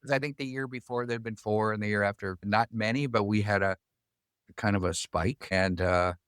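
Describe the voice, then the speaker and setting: A man in his fifties, a guest on a podcast, speaking in a measured, slightly halting way in casual interview talk. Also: lowish voice, steady tone, fairly bright tone, smooth, no echo, studio quality.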